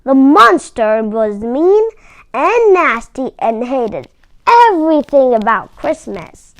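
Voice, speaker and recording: A woman reading a children's story aloud with expressive, deliberate delivery and dramatic emphasis. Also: high-pitched, dry recording.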